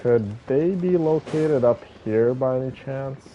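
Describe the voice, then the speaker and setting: A man's voice: medium pitch, measured pace, muffled recording, slight background noise. A man giving casual gameplay commentary, thinking aloud and talking to his viewers. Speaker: game streamer playing and commenting.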